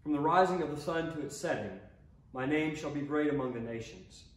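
A man officiating a church service, reading prayers and psalms in a measured, solemn way. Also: on the high side, animated. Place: inside a church sanctuary by the altar. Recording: roomy, distant mic with faint noise.

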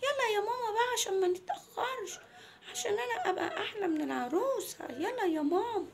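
A woman's voice: very high-pitched, animated, creaky, voice pushed up, exaggerated voice. A woman voicing a vain, stubborn little girl in a storytelling piece, childish and pleading, stretching her words in a whine. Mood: insistent, cheerful. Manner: childish, whiny, drawn-out words.

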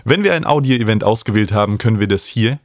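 A man's voice: on the low side, lively intonation, quick pace, no room sound. A man in his thirties explaining step by step as a tutor, recorded close on a studio microphone. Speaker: host and music production tutor. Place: small home studio room, indoors. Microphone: studio microphone close on a stand.

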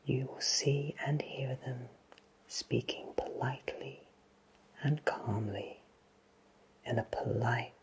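Slow whispering magical potion shopkeeper played by a man. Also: medium pitch, breathy edge, full character voice, rough recording. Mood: soothing, instructive. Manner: slow, deliberate, gentle, courteous. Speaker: ASMR roleplay performer. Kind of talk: whispered ASMR roleplay.

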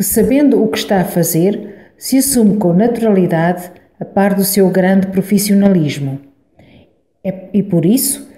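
A woman's voice: medium pitch, warm tone, echoey room. Portuguese woman reading a radio opinion piece. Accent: European Portuguese. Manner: measured, earnest reading. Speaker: opinion columnist.

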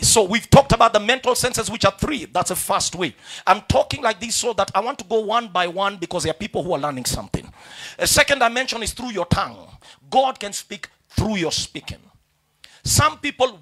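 A young Kenyan man preaching a sermon in a large church hall on a handheld mic, calling out to the congregation and rising into shouts. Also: sits high. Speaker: pastor. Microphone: handheld microphone.

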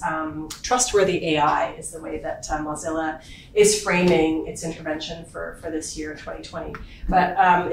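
A woman's voice: fairly low pitch, slight background noise. A woman acting as host, introducing a guest in a university lecture hall and reading out her bio, with many fillers. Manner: warm, halting with many fillers. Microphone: far room recording from the back. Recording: echoey lecture hall from far off.

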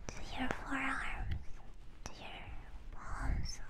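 A woman softly whispering a guided relaxation for ASMR, breathy, soothing, gentle and reassuring. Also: very slow. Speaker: ASMR streamer.

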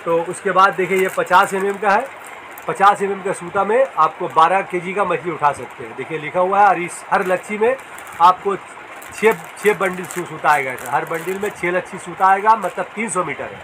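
A man's voice: high-pitched, moderate pace, background noise. A man who runs a fishing tackle shop casually shows his products, repeating sizes and strengths plainly, filmed on a phone in the shop. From India.

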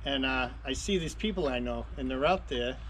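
A man's voice: medium pitch, a little fast, low-quality recording. A man who chats in a joking, teasing way and tells stories while cars are worked on. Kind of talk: casual chat. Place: car workshop. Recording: loud workshop noise.

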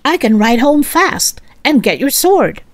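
Woman voicing eager, high-pitched storybook boy Arthur. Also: sing-song, a little slow, dry recording, exaggerated voice. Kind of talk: storybook character voice.

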